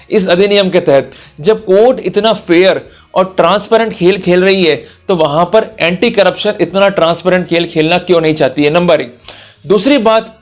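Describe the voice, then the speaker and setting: High-pitched man lecturing on camera. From India. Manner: emphatic, instructive, addresses listener directly. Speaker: lawyer giving a law lecture. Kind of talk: explanatory legal lecture for online viewers.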